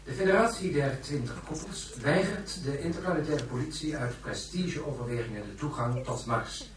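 An actor playing a sober, declamatory television news commentator, heard over a set in a large hall, in a radio play. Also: male voice, a little high, normal speed, a crowd in the background. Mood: formal. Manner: formal, declamatory. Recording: very echoey, distant, faint noise.

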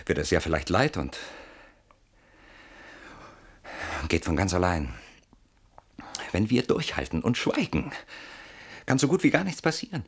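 An actor playing an anxious, defensive husband in a classic German radio play, agitated, stubborn and sardonic, with dramatic pauses and repeated words. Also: male voice, low voice, slightly rough, full character voice. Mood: agitated, insistent. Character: anxious, defensive husband barricaded behind his door.

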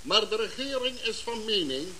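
A man's voice: high-pitched, very animated, moderate pace, sharp tone, exaggerated voice. An actor playing a formal government spokesman giving a stiff, official address, heard through a crackling radio receiver in a radio drama. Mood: solemn, official. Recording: heavy hiss and crackle of radio reception.

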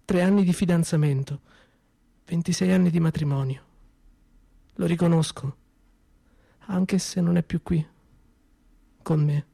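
A man's voice: a little high, fairly even intonation, a little slow, creaky, long pauses, mellow, dry recording. A man voicing a grieving older widow. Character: grieving widow, woman in her fifties, quietly broken. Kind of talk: theatrical monologue.

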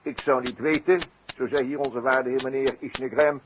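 Wry, theatrical police detective male actor, deliberate and mocking, vintage radio drama, heavy noise and clicks. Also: medium pitch, fairly even intonation, close to an everyday voice. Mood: mocking, accusing. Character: sly, mocking male French police detective in circus mystery. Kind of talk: 1960s Dutch radio play acting. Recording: very noisy, clicky 1960s radio recording.

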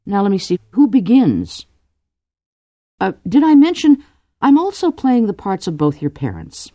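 A woman voicing a brisk, commanding noblewoman who plays matchmaker with wry teasing. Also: medium pitch, wildly swooping pitch, dark tone, dry recording, pitched above the performer's own voice.

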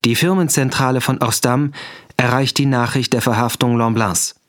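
A man's voice: medium pitch, steady tone, moderate pace, a bit bright. A man announcing scripted documentary-like headings as a radio announcer.

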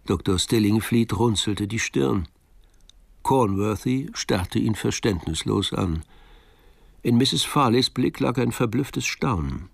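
An actor narrating a German radio murder mystery in a measured, atmospheric storytelling manner. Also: male voice, fairly low pitch, gravelly, dry recording. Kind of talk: scripted narration in radio drama.

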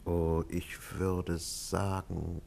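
A man's voice: deep voice, little pitch movement, drawn-out words, creaky, a little mellow, slight background noise, full character voice. An actor playing a worried middle-aged psychiatrist and father in a 1950s German radio play, formal and hesitant in manner. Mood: anxious, puzzled. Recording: 1950s radio drama recording.

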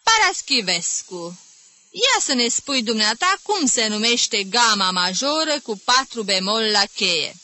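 A woman voicing a small, irritable male music teacher around fifty who shouts insults at his pupils and mocks them. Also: on the high side, sing-song, no room sound, voice pushed up. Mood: mocking. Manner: shouting insults, mocking and ironic.

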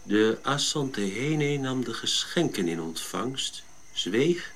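An actor playing a gentle, measured member of a Dutch expedition in a radio drama. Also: male voice, medium pitch, lively intonation, heavily acted. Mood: measured, recounting. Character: Willem, gentle Dutch expedition member recounting his journey. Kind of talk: radio drama narration and dialogue.

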